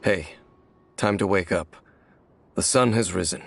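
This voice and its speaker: Low, gravelly, gentle traveler. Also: male voice, unhurried, soft background music, full character voice. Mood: gentle concern. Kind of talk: video game voice acting.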